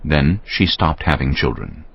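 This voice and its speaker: A man narrating scripture for an audio drama in a measured, solemn storytelling manner. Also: very deep voice, big pitch swings, vocal fry, slightly rough, no room sound, dull audio.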